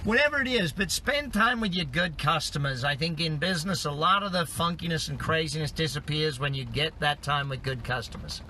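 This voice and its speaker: A man's voice: a little high, a little fast, few pauses, pushed and bright, poor audio. A middle-aged man from Australia, a business coach giving upbeat motivational tips in numbered points while driving. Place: in a car on the road. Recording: car and road noise behind.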